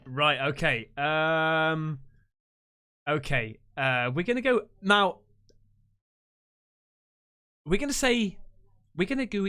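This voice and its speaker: A man hosting a live stream, chatting with callers in a chummy, excitable way full of slang. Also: on the high side. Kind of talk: casual live chat.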